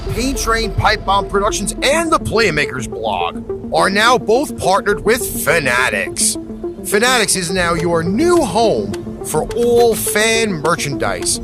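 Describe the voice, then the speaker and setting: Advertisement announcer, lively pitch swings, measured pace, scripted promotional read, clear music bed. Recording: loud music bed underneath.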